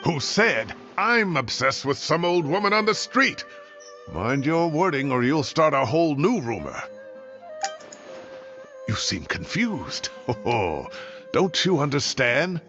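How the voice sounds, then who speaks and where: A man playing an indignant, theatrical elderly former professor in a video game, expressive and blustery. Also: fairly high pitch, takes it slowly, muffled recording, faint music behind, heavily acted.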